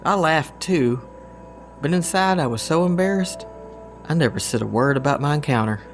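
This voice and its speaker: An actor with a Southern US accent playing a goofy, good-natured country man in an audio drama, talking in a folksy, drawn-out way. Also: fairly high pitch, soft background music. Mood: animated storytelling. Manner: folksy, drawn-out, excitable storytelling. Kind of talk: scripted audio drama dialogue.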